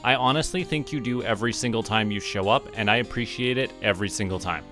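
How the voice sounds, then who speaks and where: A man with a fairly low voice talks to himself as he streams a game. His delivery is casual and musing, as if sorting out each step aloud. Music plays clearly behind him. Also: fast talker. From Canada. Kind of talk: casual gaming livestream chat, thinking aloud. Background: clear background music.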